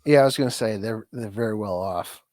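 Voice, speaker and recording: A man co-hosting a podcast in casual chat, a bit stuffed up from a cold, talking in halting bursts with many restarts and easy laughs. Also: fairly low pitch, a little mellow, dry recording, studio quality. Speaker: podcast co-host.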